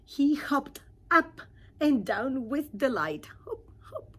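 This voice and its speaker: Expressive, unhurried woman reading a storybook. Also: high voice. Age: middle-aged. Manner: animated, dramatic pauses, asks listeners questions. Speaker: teacher reading a storybook aloud to children.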